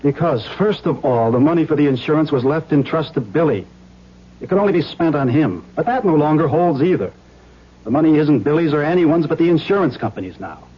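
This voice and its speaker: An upright, worried middle-aged banker in a vintage radio play. His voice is a bit high and husky, with proper, reasoning diction, on a muffled recording. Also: lively intonation, fairly quick. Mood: tense, reasoning. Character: anxious, upright bank executive and family man. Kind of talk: old-time radio drama acting. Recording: dull lo-fi old radio recording.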